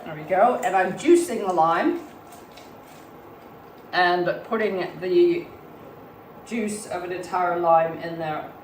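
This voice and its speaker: A woman with a touch of a cold speaks in a low, slightly hoarse voice as she calmly walks through a recipe. She sounds a little far from the mic in a roomy home kitchen with a faint hum behind her. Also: slow pace, long pauses. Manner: calm, explains health benefits while cooking. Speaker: cooking presenter. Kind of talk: casual cooking demonstration.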